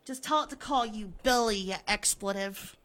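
A woman's voice: mid-range voice, moderate pace, fairly bright tone, lightly acted. A woman voicing a scheming, henpecked husband from a horror comic, with a stammering, coaxing manner that has a taunting edge. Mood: taunting fury. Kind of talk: dramatic comic-book reading.

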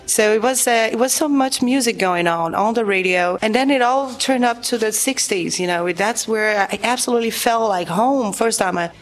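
A woman's voice: on the high side, normal speed, hardly any pauses, soft background music. Chatty Brazilian-born woman musician. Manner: chatty, full of fillers. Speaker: guest musician. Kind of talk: casual interview chat.

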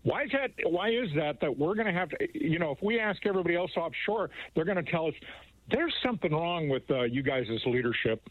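A man's voice: on the high side, lively intonation, brisk, dry recording. A man calling in to a radio show as a regular caller, chatty and stopping to search for words. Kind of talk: phone-in chat. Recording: tinny, dry phone line.

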